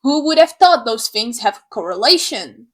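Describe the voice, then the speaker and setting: Young woman from Slovakia, English, high voice, sarcastic, animated, swears casually. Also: moderate pace. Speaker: YouTuber. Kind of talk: opinion rant to camera.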